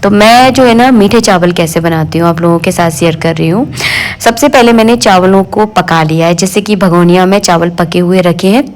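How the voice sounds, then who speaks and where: A middle-aged woman with a hoarse voice, chatting homely and casually in a home cooking and daily-life vlog from her kitchen. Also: fairly low pitch, moderate pace. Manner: homely, chatty, fond of the filler "jo hai na". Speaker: home vlogger.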